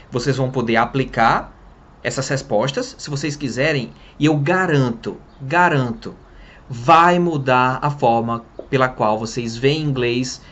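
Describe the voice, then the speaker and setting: A young man from Brazil giving a casual English lesson to camera, talking with his hands and stressing his points as he goes. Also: mid-range voice. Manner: lively, talks with his hands. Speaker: English teacher. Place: small room in front of a printed wall.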